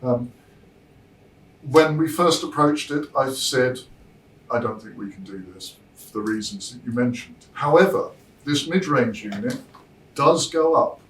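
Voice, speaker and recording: A man giving an informal product presentation as a designer, explaining in a measured way and pausing mid-thought. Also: medium pitch, lively intonation. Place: demo room at a hi-fi show, indoors. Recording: roomy, distant mic, noisy show room.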